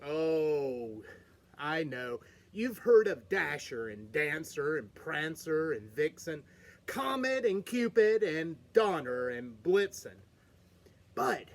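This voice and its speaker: A man reading a children's picture book aloud, playfully talking to his young audience. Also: sits high.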